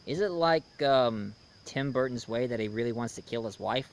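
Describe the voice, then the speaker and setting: A man in his thirties giving a casual, unscripted review as the host, haltingly, often searching for words and repeating himself. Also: mid-range voice, a little hiss or hum.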